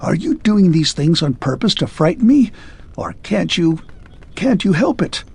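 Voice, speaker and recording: A man's voice: high voice, very animated, a little slow, gravelly, darkish tone, husky, pitched above the performer's own voice, exaggerated voice. A man reading a storybook and voicing a frightened doctor who pleads in a shaky, raised voice. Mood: pleading. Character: frightened middle-aged male doctor, pleading.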